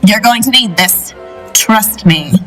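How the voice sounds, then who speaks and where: A woman's voice: medium pitch, animated, slow pace, a bit bright, soft background music. A woman playing a brash, crooked circus showman in a western audio drama, sneering and bombastic. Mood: smug.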